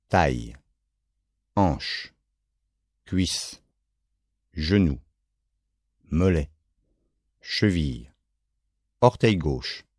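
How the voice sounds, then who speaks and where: Deep, very slow male relaxation guide. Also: no echo, studio quality. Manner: calm, measured, short phrases with pauses. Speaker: yoga nidra relaxation instructor.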